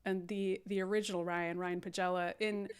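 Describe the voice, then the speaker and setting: A woman's voice: fairly low pitch, flat delivery, moderate pace, crowd noise. A woman hosting a casual comedic livestream, introducing and recapping in a sardonic way, often laughing as she talks. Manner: sardonic, laughs while talking. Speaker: host introducing cast and recapping.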